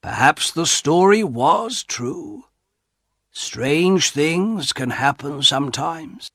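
A man's voice: on the high side, animated, no echo, voice pushed up, exaggerated voice. A man doing a hesitant old father. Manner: slow, hesitant and quiet. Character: quiet, cautious old father, uneasy.

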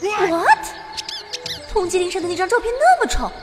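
A woman's voice: very high voice, very animated, moderate pace, over loud music. A woman playing a cute, cheeky, broke teenage girl in an audio drama, perky and theatrical as she acts and narrates. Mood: indignant, pleading.

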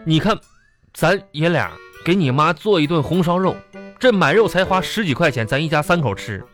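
A man's voice: on the high side, expressive, moderate pace, a bit bright, soft background music. A man playing a genial, talkative father in a comedy radio drama, coaxing and often repeating his words as he stumbles along. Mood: persuading.

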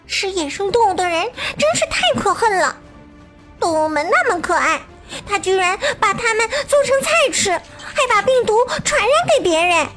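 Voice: very high voice, big pitch swings, normal speed, sharp tone, faint music behind, exaggerated voice. A performer playing an earnest, sweet, curious young child in a children's radio drama. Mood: indignant.

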